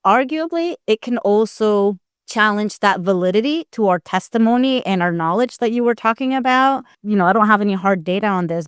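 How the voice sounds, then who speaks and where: A woman's voice: mid-range voice, clean tone, no room sound, clean studio sound. A middle-aged woman from Costa Rica answering interview questions conversationally, in a candid, reflective, explanatory way. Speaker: guest, anthropologist and expert witness.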